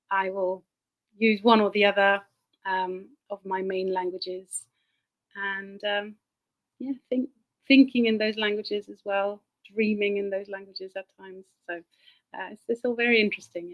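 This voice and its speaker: A woman who grew up in Germany with German as her first language, a teacher giving a casual talk over a video call, chatty and quick to laugh. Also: mid-range voice, bright, forceful tone, poor audio. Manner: chatty, laughs often, repeats words while thinking. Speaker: guest speaker, teacher.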